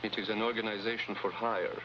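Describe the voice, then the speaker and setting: An actor playing a sly, courtly, self-serving informant, mock-polite and theatrical, in a vintage TV adventure. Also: male voice, lowish voice, steady tone, fairly quick, lightly acted. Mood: oily, genial. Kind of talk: 1950s TV adventure acting. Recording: muffled old TV soundtrack with noise.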